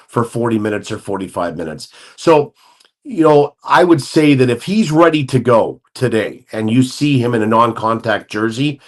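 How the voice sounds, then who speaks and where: A man talking as a hockey analyst on a sports talk show, laying out scenarios at length and thinking aloud. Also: mid-range voice.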